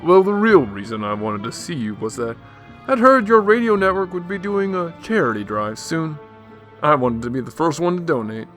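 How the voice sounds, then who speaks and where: Male actor as affable socialite, forward bright tone, casual, naive-seeming, radio drama, faint music. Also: fairly high pitch, lively intonation, normal speed. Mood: breezy. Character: breezy, naive-seeming rich socialite man.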